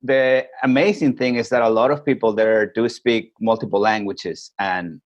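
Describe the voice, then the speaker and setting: A man from Peru, a podcast guest calling in remotely, telling his stories in a reflective, unhurried way. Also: on the low side, fairly even intonation, dull audio. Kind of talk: casual interview chat.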